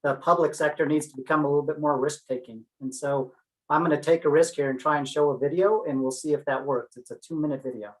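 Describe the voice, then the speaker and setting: A man in his forties talks casually on a video call. His voice sits a little high for a man. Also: steady tone, fast, rough recording. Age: 40s. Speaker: city representative giving a pitch. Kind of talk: casual remarks. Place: indoor office on a video call.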